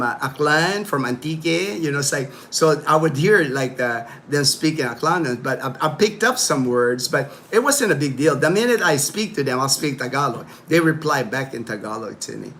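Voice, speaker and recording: Rambling, slightly high-voiced man chatting. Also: lively intonation, moderate pace, few pauses, fairly bright tone, slight background noise. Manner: rambling, loose talk full of "you know", "like" and "man". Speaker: livestream host. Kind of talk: casual livestream chat. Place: his home in the Philippines.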